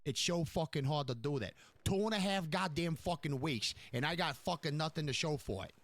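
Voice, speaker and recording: A man's voice: fairly high pitch, fairly quick, no echo, pitched above the performer's own voice, natural voice. A man playing an exasperated Italian-American New York mob boss in improvised gangster roleplay, profane, repetitive and sarcastic. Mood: bitter sarcasm. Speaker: streamer playing a crime boss in online roleplay.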